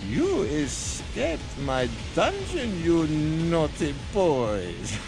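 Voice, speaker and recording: An actor playing a gloating, theatrically amused old vampire count in a video game, pompous and mock-genteel, savoring each word. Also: male voice, a little high, very animated, drawn-out words, over music, exaggerated voice. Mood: mockingly amused. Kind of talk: video game villain acting.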